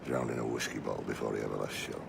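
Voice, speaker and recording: Gravelly, very deep-voiced pirate captain. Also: male voice, very fast, heavy background noise, full character voice. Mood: bitter, mournful. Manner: coarse, blunt, cursing. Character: gruff, foul-mouthed, bitter older pirate captain. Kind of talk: video game character acting.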